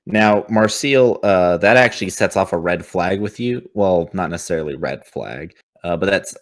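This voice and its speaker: A man running a tabletop game as game master, improvising narration in a casual, halting way, thinking aloud and swearing freely. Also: deep voice.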